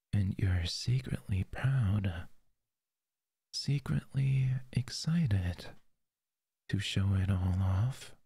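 A man playing a possessive, jealous lover in an audio roleplay. He speaks softly and intimately into a close microphone, often in a whisper. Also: fairly low pitch, creaky, breathy edge, no echo, heavily acted.

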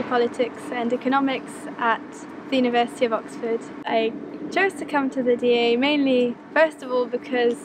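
Student raised in Luxembourg, 20s, composed and monotone, outdoor noise. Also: female voice, on the high side. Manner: articulate, composed. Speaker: master's student. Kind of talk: on-camera interview answer. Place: outdoor campus garden.

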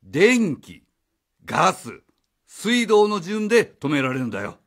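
Man acting a flustered husky dad, swinging pitch. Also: big pitch swings, unhurried, fairly bright tone, exaggerated voice. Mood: flustered, evasive. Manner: stammering, blustering, casual speech. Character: flustered, evasive, cheeky father, a taxi driver. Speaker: voice actor. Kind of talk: radio drama acting.

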